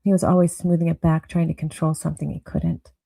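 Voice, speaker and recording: A woman narrating a noir audio drama with brooding, deliberate storytelling. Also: sits low, steady tone, creaky, dark tone, no echo.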